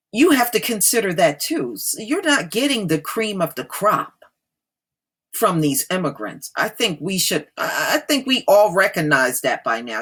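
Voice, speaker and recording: A woman hosts a commentary, speaking at an unhurried, slow pace in a husky voice. She is emphatic and talks straight to her listeners, stressing her points. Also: lowish voice, fairly bright tone. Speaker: online commentator and host. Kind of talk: reading an article aloud with off-the-cuff commentary.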